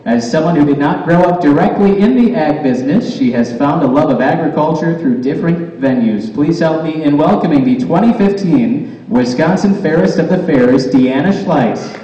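A man's voice: fairly high pitch, warm tone, lo-fi sound. Man, emcee, formal bio reading, lectern mic, distant, reverberant hall. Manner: polished, reading prepared bios. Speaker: master of ceremonies. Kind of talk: formal stage introductions. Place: large hall, speaking at a lectern. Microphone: lectern mic, far camera. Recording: echoey hall from far off.

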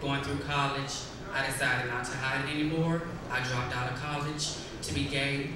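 A man's voice: medium pitch, flat delivery, moderate pace. A male female impersonator answering a pageant question on stage with an emphatic, declaiming delivery. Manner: emphatic, rising to a declaiming finish. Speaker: pageant contestant. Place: large stage in a hall. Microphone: handheld mic through hall speakers. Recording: echoey hall taped from far off, noisy.

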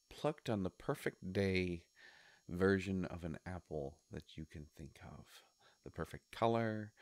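A man playing a sly devilish shopkeeper in a roleplay audio, coaxing and musing with hesitant pauses. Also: low-pitched, no echo, heavily acted. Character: sly devilish male shopkeeper of a wish-granting store. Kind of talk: scripted roleplay audio monologue.

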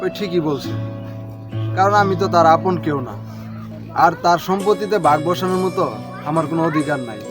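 A man with a Bangladeshi accent playing a gentle, self-sacrificing elder brother in a melodramatic family drama. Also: sits high, fairly quick, pauses often, close to an everyday voice. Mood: resigned. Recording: background music over dialogue.

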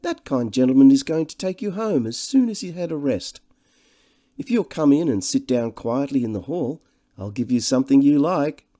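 A man's voice: medium pitch, expressive, normal speed, dark tone, pitched above the performer's own voice, close to an everyday voice. A man voicing a glib, charmer in an audiobook, with a jaunty, flippant manner. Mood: coaxing. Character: breezy, smooth-talking upper-class schoolfellow with glib charm. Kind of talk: audiobook character dialogue.

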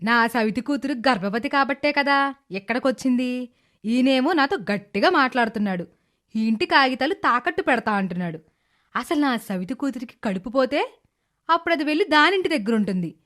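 A cruel middle-aged stepmother from a cartoon moral tale, played by a woman, speaks in a high voice. She scolds with scorn and sarcasm, sounding resentful and calculating. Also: expressive, fairly quick, a bit bright. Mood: scheming. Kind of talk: animated moral story character dialogue.

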